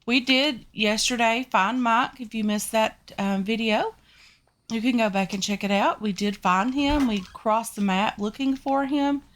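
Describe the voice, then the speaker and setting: A woman's voice: a little high, measured pace, fairly bright tone. A woman with a Southern US accent hosting a gaming video, congested from a sinus infection, chatting casually to her viewers with frequent ums. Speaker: gaming channel host. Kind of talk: casual game commentary.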